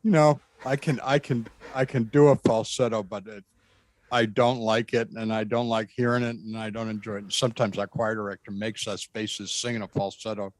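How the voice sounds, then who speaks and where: A man with a bass voice, a guest earnestly recounting a spiritual experience in conversation, often repeating himself. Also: level tone, moderate pace, no echo. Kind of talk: conversational storytelling.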